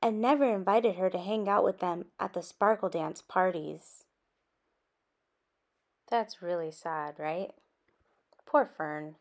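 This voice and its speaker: A woman reading a children's story aloud in an expressive way, with animated asides addressed to young listeners. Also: lowish voice, slow, long pauses. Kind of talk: expressive picture-book read-aloud.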